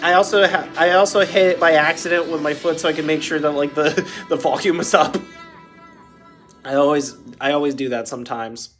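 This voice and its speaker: A chatty reaction host with a stuffed-up nose, talking casually at a middle pitch with sympathetic asides. Faint music runs underneath. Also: steady tone, brisk, fairly bright tone. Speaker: video reactor.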